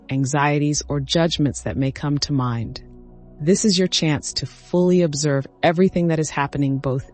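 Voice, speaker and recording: A man narrating a scripted guided meditation as the guide, giving instructions in measured phrases with short cues. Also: fairly high pitch. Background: background music.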